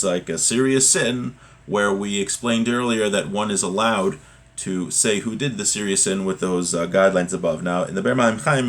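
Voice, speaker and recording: A man with a fairly low voice teaches a religious text in an informal way. He reads a little, explains it in plain words, and goes back over each point in new phrasing. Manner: explanatory, repeats and rephrases points. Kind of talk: informal text lesson, reading aloud then translating and explaining.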